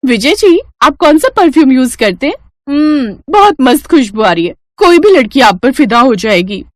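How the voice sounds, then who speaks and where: A woman playing a bubbly high-voiced young girl. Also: big pitch swings, moderate pace, dry recording, studio quality. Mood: playful. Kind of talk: cartoon dubbing.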